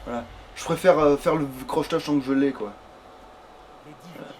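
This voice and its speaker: A man with a slightly high voice chats casually through a game, crude and sweary as he thinks out loud. There is faint noise and ambience behind him, like a home recording. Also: moderate pace. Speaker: player in a gaming let's play. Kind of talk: casual gaming chat while playing.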